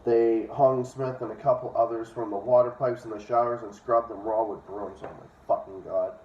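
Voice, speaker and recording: A man's voice: medium pitch, steady tone, moderate pace, slight background noise. A man casually reading a book aloud and breaking into blunt, profane, opinionated commentary. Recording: dull, echoey room, distant from mic.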